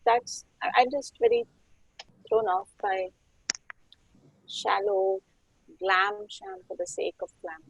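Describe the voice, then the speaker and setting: A woman speaking as a panelist in an animated way, her pitch leaping sharply. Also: slow, gravelly, long pauses, slight background noise, rough recording. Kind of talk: panel discussion.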